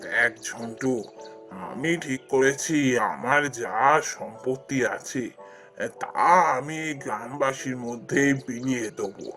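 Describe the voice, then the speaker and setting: A man playing a kind, generous old village landlord in a cartoon fairy tale, explaining things in a measured way. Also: mid-range voice, sharp tone, soft background music. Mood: benevolent, earnest.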